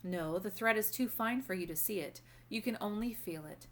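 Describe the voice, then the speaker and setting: A woman voicing a kindly, wise old grandmother in a storybook, gently and formally giving instruction. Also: mid-range voice, moderate pace, natural voice. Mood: gentle. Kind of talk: storybook read-aloud character voice.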